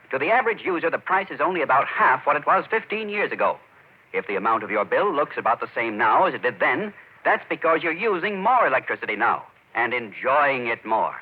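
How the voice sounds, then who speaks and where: Animated 1940s radio adman, tinny sound. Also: fairly high pitch, breathy edge. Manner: folksy, persuasive salesmanship. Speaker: radio announcer. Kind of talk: 1940s radio sponsor pitch. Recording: muffled, tinny old radio recording.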